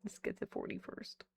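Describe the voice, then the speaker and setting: A woman in her thirties chatting casually on a live stream, laughing often and reading chat aloud while she tinkers with a keyboard at home. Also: darkish tone. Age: 30s. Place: indoors at home, working on a keyboard.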